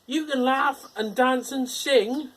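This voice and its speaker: A man voicing a small, timid male pig in a storybook reading, in a high squeaky voice. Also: slow pace, a bit bright, heavily acted.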